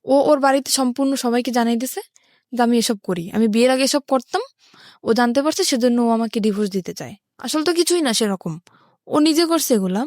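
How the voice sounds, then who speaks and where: High-voiced young Bangladeshi woman. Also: brisk, darkish tone, no echo, studio quality. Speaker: guest telling life story. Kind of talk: personal storytelling in an interview.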